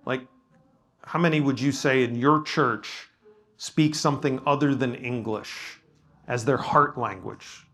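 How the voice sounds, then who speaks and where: A man's voice: mid-range voice, unhurried, fairly bright tone. A man leading a workshop discussion as a pastor, talking casually and modestly, with frequent ums and restarts in front of a live audience.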